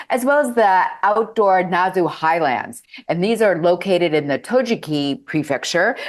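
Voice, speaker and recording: A woman with a New Jersey accent presenting a tourism destination, upbeat and chatty, with fillers. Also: lowish voice. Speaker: tourism representative. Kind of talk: promotional panel presentation.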